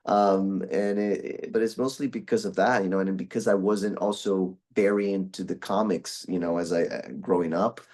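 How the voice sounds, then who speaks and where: A man's voice: sits low, steady tone, moderate pace, hardly any pauses, a little mellow. A young man from Brazil, chatting as a guest voice actor in a casual interview, often restarting his sentences. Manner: rambling, with many restarts and fillers.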